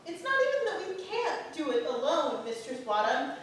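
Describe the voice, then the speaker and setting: An actress playing a polite, dutiful townswife of early modern England in a staged play reading. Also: female voice, high-pitched, sing-song, normal speed. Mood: earnest. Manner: polite, deferential. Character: Bridget, a deferential, dutiful, hard-pressed early modern townswife. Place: playhouse stage. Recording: echoey theatre from far off, faint noise.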